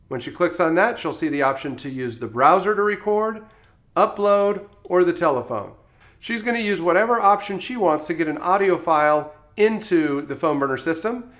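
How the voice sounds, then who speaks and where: A man presenting software training in a step-by-step instructional tutorial style, as a voice-over. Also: fairly high pitch. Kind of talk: scripted tutorial narration.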